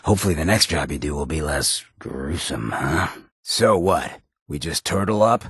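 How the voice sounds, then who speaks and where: An actor playing a roguish, cynical charmer of a town mayor in a video game, with a raspy, gravelly voice and a cocky, slangy drawl. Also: male voice, extremely low pitch, animated, normal speed, a bit bright, no echo, studio quality, heavily acted. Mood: sly, easygoing. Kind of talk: video game character dialogue.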